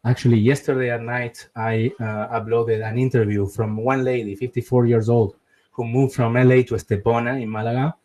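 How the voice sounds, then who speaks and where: A man's voice: mid-range voice, level tone, a little fast, dark tone, poor audio. A middle-aged man from Argentina with an Argentine Spanish accent, hosting a webinar and giving its closing remarks earnestly, repeating words for emphasis. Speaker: webinar host and relocation advisor.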